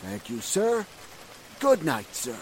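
A man's voice: high-pitched, wildly swooping pitch, slow pace, noisy background, full character voice. An actor playing a polite, deferential doorman in an old-time radio drama. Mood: obliging. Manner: polite, servile. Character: deferential, chatty male doorman.

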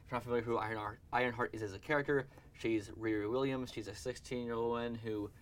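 A man hosting a casual pop-culture podcast at a brisk clip. He runs through news items in a chatty way, with a middling pitch. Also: steady tone. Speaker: podcast host.

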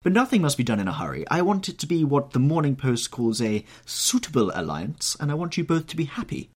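A man's voice: a little high, sing-song, a little fast, pitched above the performer's own voice. A man voicing a fussy old society hostess. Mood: gushing. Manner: gushing, bossy, matchmaking.

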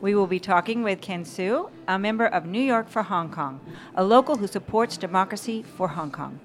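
A woman's voice: mid-range voice, moderate pace. American lady cohosting a podcast, casual chatty interview style. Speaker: podcast co-host. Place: neighborhood bar.

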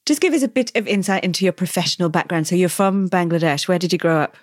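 Woman host asking probing questions, lowish voice, dry close sound. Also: fast talker, no echo. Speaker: interview host. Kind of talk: interview questions.

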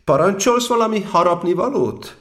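A man's voice: high-pitched, expressive, a bit bright. A man playing a polite, cautious, slightly fussy rabbit in an audio drama, speaking formally and courteously. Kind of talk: audio drama character acting.

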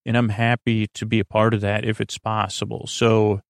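Creaky-voiced man rambling softly through a monologue. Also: fairly low pitch, flat delivery, no echo, studio quality. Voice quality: creaky, gravelly voice. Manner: meandering, halting, trails off into tangents. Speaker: podcast host. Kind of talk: rambling bedtime podcast intro, casual monologue.

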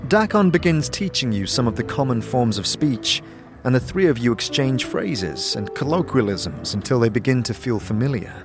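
A man serves as narrator of a fantasy audio drama. He reads scripted second-person storytelling at a middle pitch. Music is clearly audible behind him. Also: big pitch swings, moderate pace.

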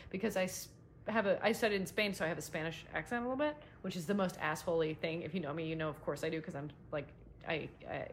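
A woman co-hosting a casual tennis podcast, animated and enthusiastic, swearing casually. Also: lowish voice, slight background noise. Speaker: podcast co-host. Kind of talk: casual chat.

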